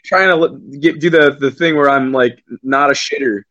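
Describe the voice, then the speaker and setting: A man's voice: mid-range voice, normal speed, bright, forceful tone, dull audio. A man who works as a game commentator, chatting on a podcast in a rambling, profane, self-assured way. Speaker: esports commentator. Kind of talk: casual podcast chat.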